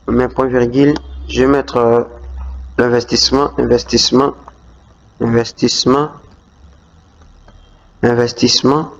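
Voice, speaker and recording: A man's voice: medium pitch, little pitch movement, a little slow, creaky, pauses often. A man hosting a screen-recorded tutorial walkthrough, talking hesitantly with many fillers and restarts. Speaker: YouTube tutorial host. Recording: dull lo-fi voice-over.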